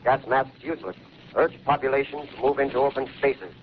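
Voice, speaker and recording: An actor playing an urgent radio operator in a 1930s radio drama, giving clipped warnings. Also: male voice, medium pitch, a little fast, a little hiss or hum. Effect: radio transmission. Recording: tinny old radio line.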